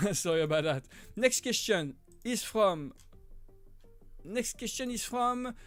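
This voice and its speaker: A man with a French accent, hosting a casual live-stream Q&A and answering viewer questions, with many halting restarts and self-corrections. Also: high voice, animated, faint music behind. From Switzerland. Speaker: live-stream host, prop maker.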